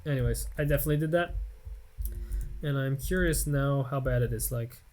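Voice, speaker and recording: A man's voice: medium pitch, brisk, darkish tone, a little hiss or hum. An American man in his late twenties, rambling and self-mocking as he thinks aloud over gameplay commentary at his home computer. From United States. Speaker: Let's Play gamer.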